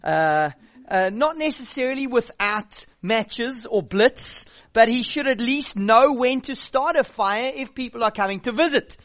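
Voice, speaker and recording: A man's voice: extremely high pitch, animated, a little slow, fairly bright tone, dry recording. A South African youth pastor in his thirties preaching a sermon, direct and blunt, with a dry joking edge.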